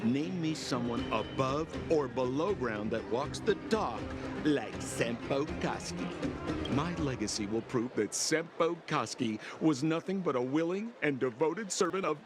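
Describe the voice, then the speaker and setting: An actor playing a smooth-talking young trickster guide in a video game, playful and showy. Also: male voice, mid-range voice, animated, unhurried, natural voice. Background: game music.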